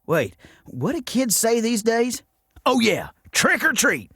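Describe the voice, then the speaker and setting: An excitable young fantasy game hero, a little awkward. The voice is high and bright, creaky at the edges and animated. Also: male voice, slow, no room sound, studio quality, full character voice. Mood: puzzled, cheerful. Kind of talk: scripted video game voice lines.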